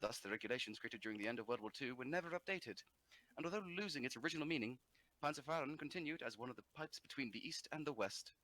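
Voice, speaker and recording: A man's voice: mid-range voice, expressive, fast talker, a bit bright, rough recording. A man reading scripted history narration as a narrator, sometimes stumbling over words.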